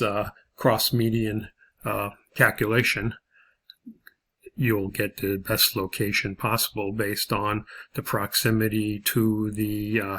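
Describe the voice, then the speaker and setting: Man, instructor, lower-pitched, monotone, halting step-by-step explanation, video lesson. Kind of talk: video lesson walking through example problems.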